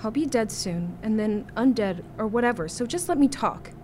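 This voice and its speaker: A woman's voice: fairly high pitch, animated, moderate pace, darkish tone, lightly acted. A young actress playing a sardonic teenage girl who has been bitten, speaking bluntly and wryly. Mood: earnest. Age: teens. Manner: wry, blunt. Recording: audible background noise.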